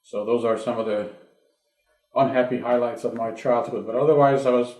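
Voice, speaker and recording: Hungarian-born man in his sixties, oral history interviewee, reflective with restarts, mid pitch, echoey room. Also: steady tone, normal speed, a little mellow. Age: 60s. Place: his home. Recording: roomy home interview.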